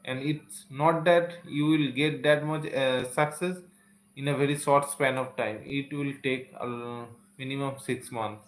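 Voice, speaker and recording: Indian-accented male English teacher, Santali native, earnest and repetitive, roomy echo, mid-pitched. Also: steady tone, measured pace. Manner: earnest, repeats phrases, sprinkles "okay". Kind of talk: live-stream motivational talk to learners. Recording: echoey room.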